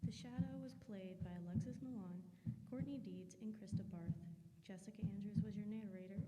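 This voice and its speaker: A woman's voice: mid-range voice, dark tone. A woman giving dramatic scripted narration for a radio drama. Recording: noisy reverberant hall.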